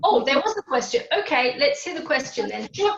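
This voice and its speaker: A woman's voice: high-pitched, sing-song, brisk, gravelly, fairly bright tone. Woman presenter on a roomy, dull call. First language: Hungarian. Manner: explanatory, often checks in with "okay?". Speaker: webinar presenter on language coaching. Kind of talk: live webinar talk.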